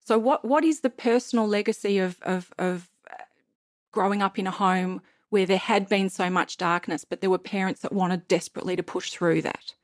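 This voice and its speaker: Dry-sounding woman interviewer probing gently. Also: mid-range voice, moderate pace, no echo. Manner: probing, restarts phrases, empathetic. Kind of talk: interview questions.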